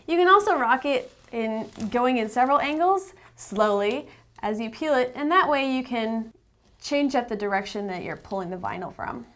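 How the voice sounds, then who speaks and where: A woman's voice: on the high side, animated, moderate pace, a bit bright. A woman narrating a how-to tutorial, explaining things step by step as an instructor. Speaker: instructor, company representative.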